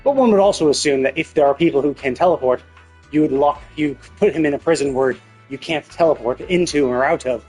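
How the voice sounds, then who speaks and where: A man with a husky voice giving an opinionated, emphatic, sweary rant as a game streamer in casual commentary. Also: a little high, brisk, a little mellow, soft background music, low-quality recording.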